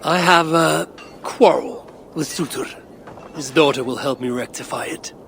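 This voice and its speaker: An actor playing a stern Norse god and father in a video game, speaking in a measured, formal, resolute way. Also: on the high side, vocal fry, fairly bright tone, a little hiss or hum. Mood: firm, courteous. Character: stern Norse god father hunting for vengeance, one-eyed. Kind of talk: video game cutscene acting.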